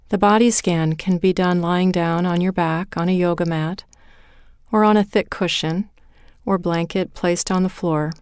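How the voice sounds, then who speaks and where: A woman leading a guided meditation in a calm, measured way, with deliberate pauses between phrases. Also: lowish voice, smooth, no echo, clean studio sound. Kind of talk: guided meditation, scripted narration.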